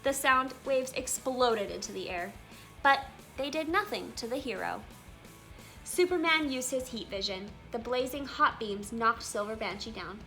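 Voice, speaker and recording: Bright, high-voiced woman reading a storybook. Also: animated, a little slow. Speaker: read-aloud channel host. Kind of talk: animated children's storybook read-aloud. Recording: music behind the voice. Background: music.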